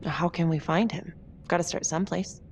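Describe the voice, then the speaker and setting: An actress playing a guarded, determined prosecutor in a crime thriller game, speaking in a dry, measured way. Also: female voice, on the low side, fairly even intonation, slight background noise, close to an everyday voice. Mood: dry, skeptical. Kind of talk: naturalistic dramatic game acting. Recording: background music under the voice.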